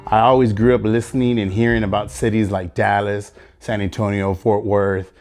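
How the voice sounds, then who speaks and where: Low-voiced musician. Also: male voice, level tone, moderate pace, a little mellow, soft background music. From Pecos, Texas. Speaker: musician, bassist. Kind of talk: documentary interview.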